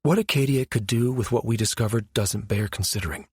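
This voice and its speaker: A man voicing a serious, quietly urgent woman scientist with a measured, firm manner in a science fiction audiobook. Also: on the low side, slightly rough, dry recording, clean studio sound. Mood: uneasy.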